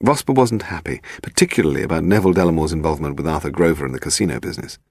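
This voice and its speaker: Low, creaky English male narrator. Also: animated, rapid-fire, darkish tone, no echo, studio quality. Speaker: audiobook narrator. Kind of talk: scripted mystery story narration.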